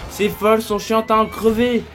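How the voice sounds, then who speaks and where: A woman's voice: a little high, little pitch movement, moderate pace, lightly acted. A woman voicing a cocky, crude male demon porn star, flirtatious and sarcastic, in an amateur French cartoon fandub. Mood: sly, boastful. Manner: sarcastic, teasing, vulgar slang. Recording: music behind the voice.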